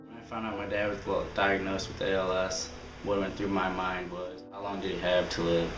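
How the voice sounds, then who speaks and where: A man in his twenties, recalling his family plainly and haltingly in a documentary interview. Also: low-pitched, flat delivery. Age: 20s. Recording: roomy sound with music.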